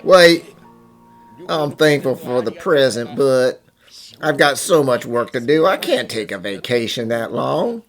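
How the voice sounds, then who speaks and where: A man playing a hardworking, softhearted middle-aged father and company boss, speaking earnestly in an animated drama fan dub. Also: medium pitch, a little slow, soft background music. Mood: moved, apologetic.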